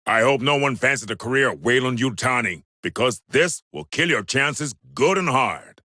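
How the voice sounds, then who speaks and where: An actor playing a tough former marine turned mercenary in a video game, talking in a dry, self-assured way. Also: male voice, mid-range voice, lively intonation, normal speed, bright, forceful tone, no echo. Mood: cocky, rallying. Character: gruff mercenary, former marine, cocky turncoat. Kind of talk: video game cutscene acting.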